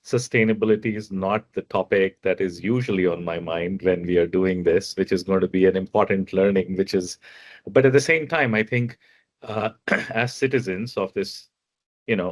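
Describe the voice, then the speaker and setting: A man responsible for AI business introduces himself on a panel in a friendly, modest, conversational way. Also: low-pitched. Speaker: AI business lead.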